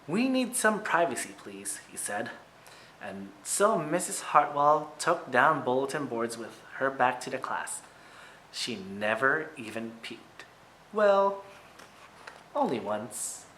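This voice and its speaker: A man who is a teacher reading a picture book aloud to children, with expressive, emphatic phrasing and short asides to his listeners. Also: mid-range voice, slow pace, a little hiss or hum, low-quality recording.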